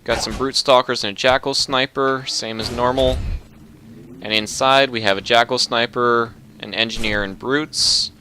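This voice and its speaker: A man narrating a video game walkthrough in a relaxed, instructive, matter-of-fact way. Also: mid-range voice, moderate pace, slight background noise. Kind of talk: casual gameplay commentary.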